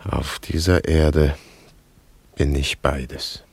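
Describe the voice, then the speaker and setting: Very deep male voice, gravelly, solemn haunted wanderer, halting, poetic radio drama. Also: level tone, a little mellow, dry recording, exaggerated voice. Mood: guarded, grave.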